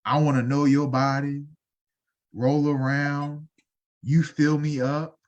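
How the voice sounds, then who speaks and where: A man's voice: a little high, level tone, slow, dull audio. Rambling man in his thirties. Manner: loose and rambling, with many fillers. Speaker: podcast co-host. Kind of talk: casual podcast chat.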